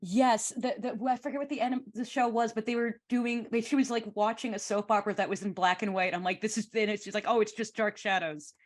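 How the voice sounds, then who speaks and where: A woman's voice: on the high side, steady tone, quick pace, few pauses. A woman chatting informally as a guest in a group conversation, often chipping in briefly. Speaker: podcast guest, fan community member. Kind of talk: casual chat, short interjections.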